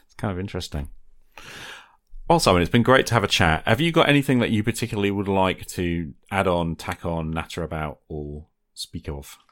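A man's voice: deep voice, lively intonation, brisk, fairly bright tone. A man with a British accent hosting a podcast in a casual, chatty style. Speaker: podcast host. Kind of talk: casual interview chat and sign-off.

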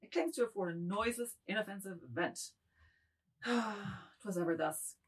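A woman's voice: medium pitch, measured pace, slightly rough. A woman playing an apologetic, self-justifying middle-aged man in a theatrical table reading, musing wistfully in broken phrases. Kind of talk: dramatic table reading of a modernist novel.

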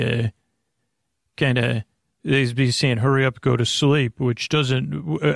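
Male sleep podcast host, creaky and gravelly, mid-range pitch, close dry sound, halting and meandering delivery. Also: dry recording. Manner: meandering, halting, self-deprecating. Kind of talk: rambling, off-topic bedtime monologue.

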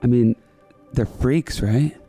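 A man's voice: mid-range voice, slow pace, dark tone, husky, soft background music. A man co-hosting a podcast, asking playful, goofy questions. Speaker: podcast co-host. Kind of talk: casual chat.

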